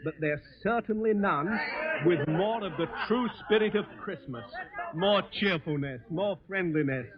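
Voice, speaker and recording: A man's voice: sits high, lively intonation, a little slow. A man from London giving a scripted address in a 1940s radio broadcast style. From London, England. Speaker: shelter spokesman. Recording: tinny 1940 radio, crowd noise. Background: crowd in underground shelter.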